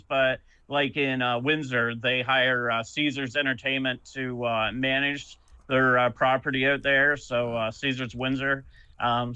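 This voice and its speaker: A man's voice: mid-range voice, pushed and bright, smooth, no room sound, dull audio. A man from Canada, a podcast guest, chatting casually and hesitantly with many ums and uhs. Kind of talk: casual chat.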